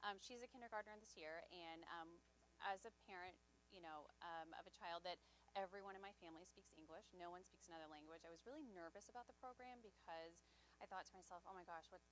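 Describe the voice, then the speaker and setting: A woman talking off the cuff as a parent at a school information session, expressive and lively in her telling. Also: fairly low pitch, fast talker, a little hiss or hum, low-quality recording. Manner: expressive, animated when recounting worries. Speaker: parent speaking at an info session. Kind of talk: unprepared casual testimonial.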